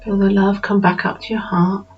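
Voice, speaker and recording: A woman narrating a guided meditation in a slow, soothing manner with unhurried pauses. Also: medium pitch, little pitch movement. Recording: dull lo-fi recording with music behind.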